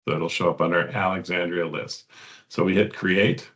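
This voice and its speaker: A man giving an unscripted software walkthrough, explaining step by step in a halting manner. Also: low-pitched, fairly even intonation. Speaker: software demonstrator.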